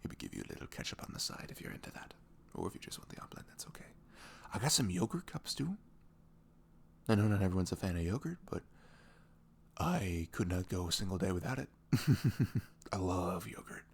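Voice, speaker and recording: A man playing a sweet, caring boyfriend in an intimate ASMR roleplay, rambling casually and playfully with breathy asides that drop into whispers. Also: deep voice, animated, brisk, long pauses. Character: sweet, caring boyfriend chatting the morning after. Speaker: audio roleplay performer.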